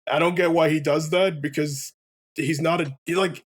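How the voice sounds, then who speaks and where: Male let's play commentator, higher pitch, loose conversational delivery, rambling opinions with swearing. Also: steady tone, normal speed, slightly rough. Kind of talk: casual opinionated game commentary chat.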